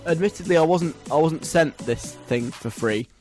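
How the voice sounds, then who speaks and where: A man's voice: fairly high pitch, normal speed, a little mellow, faint music behind. A young British man hosting a radio show in a conversational, wry, chatty style.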